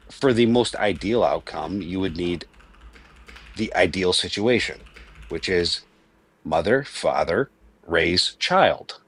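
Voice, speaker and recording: A man's voice: low-pitched, breathy edge. A man in his late thirties, from Venezuela, talking earnestly and emphatically in a casual debate, often restarting his sentences. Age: 30s.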